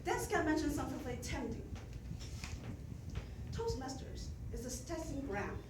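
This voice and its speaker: A middle-aged woman with a Chinese accent delivers a prepared speech to her club, measured and gently self-deprecating. Her voice sits a little high. She is picked up from a distance in an echoey meeting room with clear room noise around her. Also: very animated. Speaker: outgoing club president.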